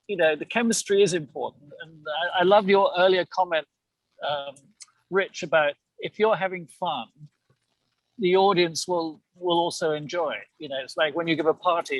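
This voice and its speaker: A man's voice: fairly high pitch, no echo, poor audio. A man, a voice actor, chatting casually in a hesitant, self-deprecating manner. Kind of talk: casual chat.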